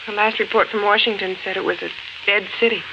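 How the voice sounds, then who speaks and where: An actress playing an anxious, caring wife in a 1950s radio drama, earnest and worried. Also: female voice, medium pitch, fairly even intonation, quick pace, gravelly, lo-fi sound, natural voice. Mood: gentle. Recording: noisy, crackling 1950s radio recording.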